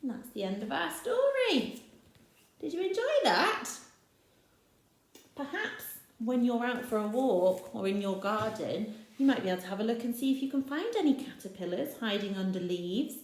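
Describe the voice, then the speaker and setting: A woman's voice: a little high, expressive, normal speed. A woman teacher reading a picture book aloud to children, pointing out the pictures and asking the listener questions. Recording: echoey room.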